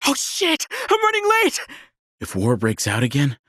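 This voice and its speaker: A man's voice: medium pitch, huge pitch swings, normal speed, sharp tone, dry recording, studio quality, heavily acted. A man playing a jumpy, curious townsman in video game background chatter. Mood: casual.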